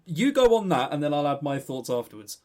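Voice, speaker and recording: A man's voice: fairly high pitch, sing-song, brisk, mellow. A man co-hosting a podcast, chatting casually and unscripted about games in a joking, teasing way. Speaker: podcast co-host.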